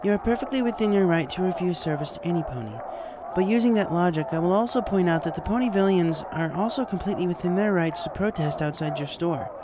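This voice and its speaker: Regal, stern female pony ruler acted by a man, raised pitch, formal and measured, storybook reading, noisy recording. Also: lively intonation. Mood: calm, stern. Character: regal female pony princess, calm yet firm.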